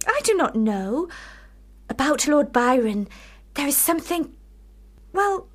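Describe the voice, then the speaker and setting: Questioning young Victorian sister, radio drama: precise, quietly defiant, lively higher register. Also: female voice, slow, heavily acted. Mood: pensive.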